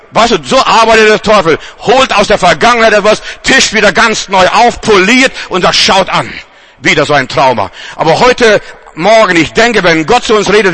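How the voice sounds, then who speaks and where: A man's voice: high voice, bright, forceful tone. A man from Germany preaching a charismatic sermon with emphatic, repeated refrains.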